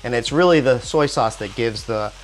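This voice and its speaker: A man in his forties hosts a cooking demonstration in a home kitchen, speaking on a lapel mic. His voice sits in a middle pitch, and he explains each step calmly with small restarts. Faint music and a sizzling wok run underneath. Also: moderate pace. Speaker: cooking show host. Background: soft music and sizzling wok.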